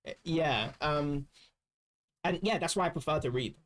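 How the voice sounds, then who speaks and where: A man's voice: on the high side, normal speed, a bit bright. A young man who grew up speaking Slovak, chatting casually on a livestream, rambling with restarts and laughs. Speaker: livestream co-host. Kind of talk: casual chat.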